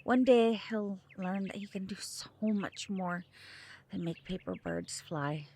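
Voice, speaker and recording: A woman playing a protective, gentle mother of a magical bloodline in an audio drama, with measured, solemn phrasing. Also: mid-range voice, a little hiss or hum, lightly acted. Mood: wistful, tender.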